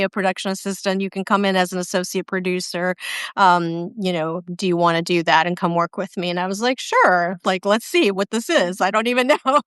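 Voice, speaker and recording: Woman, 50s, interview guest, dry close sound, thoughtful and unhurried. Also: medium pitch, a bit bright, clean tone, dry recording. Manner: reflective, many ums and restarts. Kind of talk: casual interview chat. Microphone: video call.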